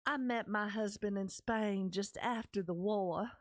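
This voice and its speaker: A woman's voice: medium pitch, measured pace, no echo, studio quality. A middle-aged woman with a Texas accent, hosting a podcast and telling her personal story in an earnest, candid way, speaking straight to her listeners. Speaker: podcast host. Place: small podcast studio, indoors. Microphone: studio mic on stand, close, with headphones.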